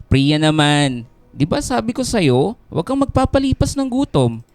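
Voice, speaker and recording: A man playing a caring, gently fussing young college boyfriend in a Filipino radio drama, with an expressive, tender delivery. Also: a little high, moderate pace. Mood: gently scolding. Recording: music bed under the voice. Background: background music.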